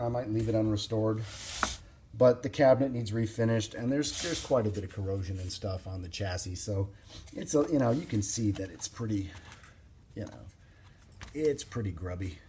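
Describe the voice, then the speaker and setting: A man giving an informal, unscripted hands-on demonstration as a hobbyist, explaining things in a plain-spoken, halting way. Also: lowish voice, animated, warm tone, a little hiss or hum. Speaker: vintage radio hobbyist presenting a demonstration.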